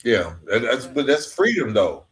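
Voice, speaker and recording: A man hosting a conversational podcast interview in a halting, reflective, gently affirming way. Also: medium pitch, very animated, crowd noise.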